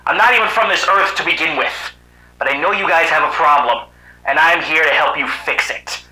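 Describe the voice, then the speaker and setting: A man voicing a sardonic cyborg animal hero from another planet in an amateur animated drama, with muttered asides and exclamations. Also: fairly high pitch, brisk. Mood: cocky, sardonic. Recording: roomy, echoing voice track.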